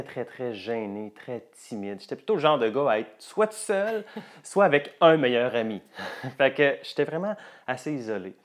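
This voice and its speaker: A man with a Quebec French accent, a coach telling personal stories and presenting a course to viewers. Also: a little high.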